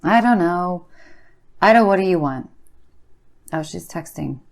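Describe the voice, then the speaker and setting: A woman's voice: on the low side, lively intonation, measured pace, gravelly. A woman playing a bored, pushy, petulant friend in a comic phone-call sketch, insistent and sarcastic.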